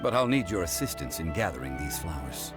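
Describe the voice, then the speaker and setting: An actor playing a refined, knowledgeable, composed gentleman in a video game, speaking in a formal, measured, explanatory way. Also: deep voice, sing-song. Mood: composed, instructive. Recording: music behind the voice.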